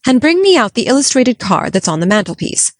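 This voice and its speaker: A woman's voice: a little high, sing-song, fairly quick, no room sound, studio quality. A woman voicing a strict, no-nonsense middle-aged spinster, clipped and disapproving. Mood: stern. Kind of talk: audiobook character voice.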